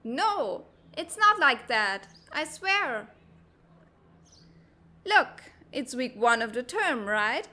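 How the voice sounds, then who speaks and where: A woman voicing a brash, hot-tempered teenage girl in a comic dub, blustery, bossy and quick to get defensive. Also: sits high, sing-song, sharp tone, full character voice. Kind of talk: animated comic-dub voice acting.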